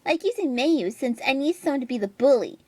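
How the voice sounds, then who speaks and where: A woman's voice: sits high, expressive, a little fast, slightly rough, no room sound, pitched above the performer's own voice. A woman voicing a whiny, aggrieved young female artist, reading out online posts in a melodramatic, complaining way. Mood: bitter, vengeful.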